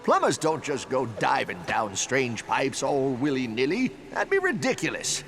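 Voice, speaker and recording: An actor playing an eccentric, cheerful old plumber in a video game, rambling along in folksy colloquial talk. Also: male voice, mid-range voice, huge pitch swings, normal speed, over music. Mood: folksy advising. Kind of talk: video game cutscene acting.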